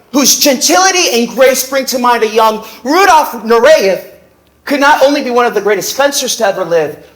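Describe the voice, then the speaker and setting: An actor playing a cocky young fencer. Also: male voice, very high-pitched, a bit bright, poor audio. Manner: teasing, flippant. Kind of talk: stage play acting. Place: theater stage.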